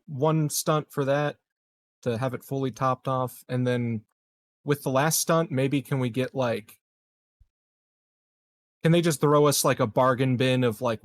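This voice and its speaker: A man playing a tabletop game, talking casually and informally in halting phrases. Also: medium pitch, steady tone. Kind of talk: casual chat.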